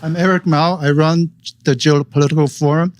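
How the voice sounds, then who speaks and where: Halting man. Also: fairly high pitch, steady tone, slight background noise. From Taiwan. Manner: halting, restarts often, repeats "like". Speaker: audience questioner. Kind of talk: audience question at a public forum. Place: event hall audience floor.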